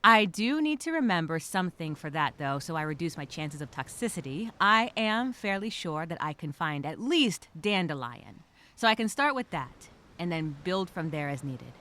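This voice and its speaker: A woman playing a scared but determined castaway, speaking her survival journal as a halting, expressive dramatic monologue. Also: on the low side. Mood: hopeful, resolute.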